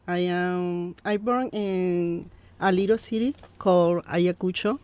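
A woman from Peru in her thirties with a Peruvian Spanish accent, a guest in an interview, speaking halting English with many fillers. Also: lowish voice, darkish tone, no echo. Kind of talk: interview conversation.

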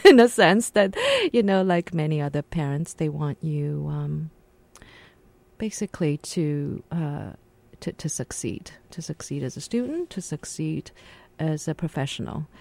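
Woman, low voice, halting, reflective, radio studio guest. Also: vocal fry, darkish tone. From Shanghai, China. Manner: halting, reflective, many pauses and restarts. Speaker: interview guest, translator and interpreter. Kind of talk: casual radio interview.

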